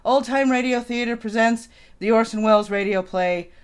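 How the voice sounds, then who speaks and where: A woman's voice: fairly high pitch, normal speed, smooth. A woman hosting a live show, speaking casually and reading a scripted introduction.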